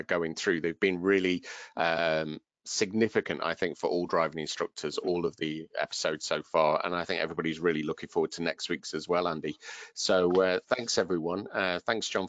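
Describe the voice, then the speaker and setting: A man hosting a training webinar, relaying questions in a friendly, slightly halting way. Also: sits low, fairly bright tone, no room sound. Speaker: webinar host. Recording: dull dry video call audio.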